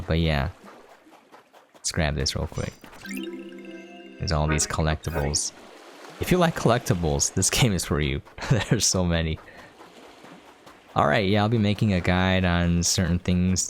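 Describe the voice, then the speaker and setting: A man's voice: low voice, measured pace, long pauses. A man giving casual game commentary as a video host, in a laid-back, rambling way. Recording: clear background noise.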